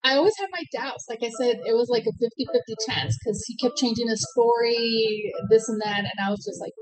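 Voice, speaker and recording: A woman's voice: fairly high pitch, brisk, music in the background, low-quality recording. Older woman, reflective guest. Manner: reflective, says wow often.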